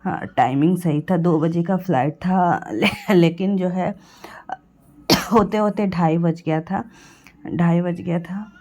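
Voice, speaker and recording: A young woman from Bihar with a sore throat, chatting through a travel vlog voice-over and clearing her throat and coughing along the way. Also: on the low side, takes it slowly, warm tone. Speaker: vlogger.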